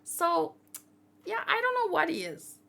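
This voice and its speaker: A woman's voice: extremely high pitch, huge pitch swings, measured pace, creaky, bright, forceful tone. A woman giving casual, informal commentary as a host, thinking aloud and often questioning herself. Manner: informal, rambling, self-questioning. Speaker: host of a manga read-along channel.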